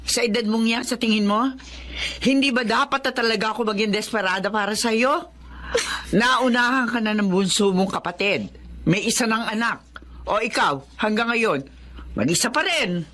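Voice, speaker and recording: A woman's voice: fairly high pitch, moderate pace, a bit bright, natural voice. An actress playing a nagging, scolding middle-aged mother bent on marrying off her grown daughter, in an audio drama. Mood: scolding, insistent. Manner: scolding, insistent. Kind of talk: dramatized life-story audio drama acting.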